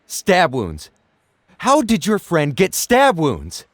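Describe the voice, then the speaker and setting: A worried dad played by a man speaks in a high, very animated voice, recorded dry. He is insistent and probing, in the manner of audio drama. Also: slow, dry recording, exaggerated voice. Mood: suspicious. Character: worried, suspicious father questioning teenagers.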